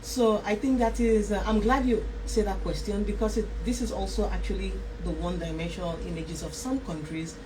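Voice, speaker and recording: A woman with a Nigerian accent talks easily as a radio guest, often breaking into laughter and restarting her phrases. Her voice sits at an ordinary middle pitch and sounds set back from the microphone. A steady hiss of background noise runs under her voice. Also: brisk, hardly any pauses, recorded from a distance. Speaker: author guest. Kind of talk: casual radio interview chat.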